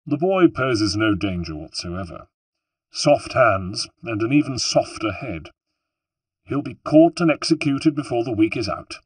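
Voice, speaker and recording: A man voicing a pompous, scheming older male official who talks smoothly and with self-satisfaction. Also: medium pitch, animated, unhurried, no room sound, voice pushed up. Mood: smug.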